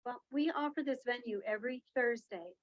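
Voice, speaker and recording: A woman's voice: on the high side, a little fast, muffled recording. A woman chairing a meeting, chatty and welcoming, with many fillers.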